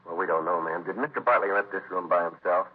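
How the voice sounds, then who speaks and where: An actor playing a terse, dogged police detective in a 1950s radio drama, deadpan, matter-of-fact and dryly polite. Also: male voice, low voice, fast, husky. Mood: politely probing. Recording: muffled vintage radio broadcast, phone-like band.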